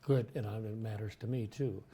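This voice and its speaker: An older man hosting a casual talk show, speaking in a halting way with many fillers and restarts. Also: on the low side, steady tone, dark tone, husky. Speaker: host. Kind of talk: casual chat. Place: studio.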